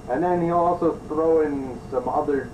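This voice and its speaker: A man's voice: fairly high pitch, normal speed, highs cut off. Echoey, distant male review host. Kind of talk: improvised review commentary. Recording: roomy, distant mic, clearly noisy.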